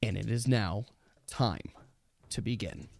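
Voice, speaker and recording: A man's voice: fairly low pitch, takes it slowly, vocal fry, no echo. A man acting as game master in a casual tabletop game, explaining rules step by step. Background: background music.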